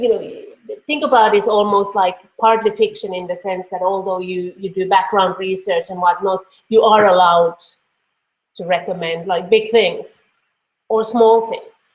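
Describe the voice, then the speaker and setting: A woman with a Finnish accent explains a course assignment over a video call, speaking casually and circling back with fillers and false starts. Her voice sits at an ordinary middle pitch for a woman, and the room around her rings with a noticeable echo. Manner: conversational, many fillers and restarts. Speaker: lecturer. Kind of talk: online course lecture. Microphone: computer mic on a video call. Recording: echoey room.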